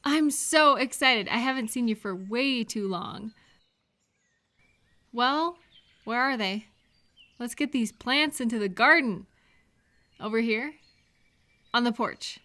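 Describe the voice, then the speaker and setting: An actress plays a caring woman friend in an intimate roleplay audio. Her voice sits a little high and moves at an unhurried pace. She is gentle and cheerful, asking attentive questions and leaving room for the answers. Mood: cheerful, excited. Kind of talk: scripted one-sided roleplay audio, addressed to the listener.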